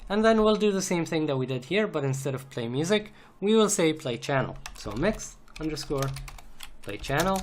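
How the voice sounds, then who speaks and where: Male tutorial instructor, slow and methodical, unscripted screencast delivery, faint background noise with keyboard clicks. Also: a little high. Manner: methodical step-by-step explaining while typing. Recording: noisy, keyboard clicks and typing. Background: computer keyboard typing and clicks.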